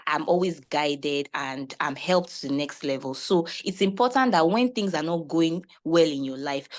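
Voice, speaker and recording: A woman giving personal testimony and advice as a panelist, speaking in a reflective, earnest way. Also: deep voice. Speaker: chef and panelist.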